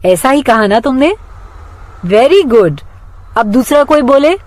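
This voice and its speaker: Very slow-paced woman teaching English. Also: high-pitched, animated, a little mellow. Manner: instructional, deliberate, spaced-out phrasing. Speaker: English teacher for Hindi-speaking children. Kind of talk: scripted lesson with phrases to repeat.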